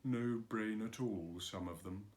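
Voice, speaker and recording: A man's voice: fairly low pitch, unhurried, lightly acted. A man voicing a gloomy, grumbling male donkey in a storybook reading, sighing and self-pitying.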